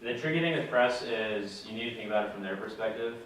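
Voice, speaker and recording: A young man giving a casual talk to a student audience, relaxed and plain-spoken with many fillers. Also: lowish voice, fast, slight background noise. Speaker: guest speaker. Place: lecture room with audience. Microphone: far camera. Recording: echoey room from far off.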